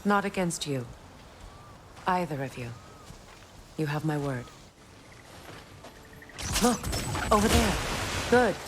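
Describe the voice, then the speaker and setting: An actress playing a proud, resolute warrior goddess in a video game, speaking in a measured, solemn, earnest manner. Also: female voice, low voice, animated, noisy background. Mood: composed, resolute. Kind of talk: dramatic video game acting.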